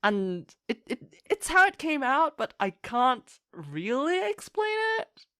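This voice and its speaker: A woman's voice: high voice, very animated, takes it slowly, fairly bright tone, no room sound, studio quality. A woman chatting casually as an interview guest, rambling enthusiastically and acting out her own thoughts as she goes. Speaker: podcast guest, fan fiction writer.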